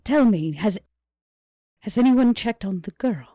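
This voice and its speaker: A woman playing a stern, businesslike female vampire club boss in a measured, deadpan tabletop game performance. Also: medium pitch, no echo, clean studio sound, pitched above the performer's own voice, close to an everyday voice. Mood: cool, probing.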